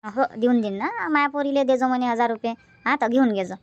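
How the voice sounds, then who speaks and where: A woman's voice: a little high, brisk, no room sound, highs cut off, close to an everyday voice. A woman voicing a homely older village mother-in-law in a cartoon comedy, chatty and instructing, with a Varhadi Marathi accent. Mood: homely, explaining. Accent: Varhadi Marathi from Vidarbha. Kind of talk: amateur cartoon comedy dubbing in village dialect.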